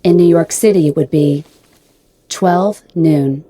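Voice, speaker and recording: A woman playing a polite, literal-minded spaceship computer in an audio drama, speaking in a formal, measured, impassive way. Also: sits low, dark tone. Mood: literal, detached. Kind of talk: radio drama dialogue.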